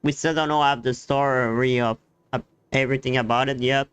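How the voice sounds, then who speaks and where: A man giving casual live game commentary as a streamer, explaining things haltingly in non-native English grammar. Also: mid-range voice, steady tone, a bit bright, smooth, dry recording. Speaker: gaming streamer. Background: faint game music.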